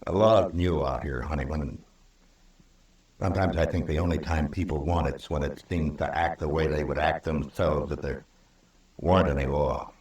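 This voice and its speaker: A low-voiced American lawman of the Old West. He is stern, weary and principled, weighing his words in firm, moral tones. The sound is roomy and set back from the mic, like a vintage radio western. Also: fairly even intonation, normal speed, darkish tone, recorded from a distance. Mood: solemn lecturing.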